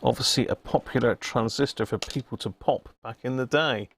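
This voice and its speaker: A man with a creaky, gravelly voice hosts a relaxed hobby livestream. He chats in a matey way and mutters to himself while tinkering, with noticeable background noise around him. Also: medium pitch, moderate pace. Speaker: livestream host and electronics hobbyist. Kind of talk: casual live chat while working at a bench.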